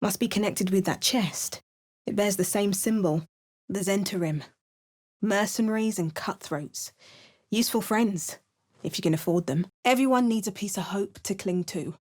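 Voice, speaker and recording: A woman's voice: mid-range voice, normal speed, a little mellow, natural voice. A woman voicing a wry young adventurer heroine. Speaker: player character voice. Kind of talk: video game voice lines.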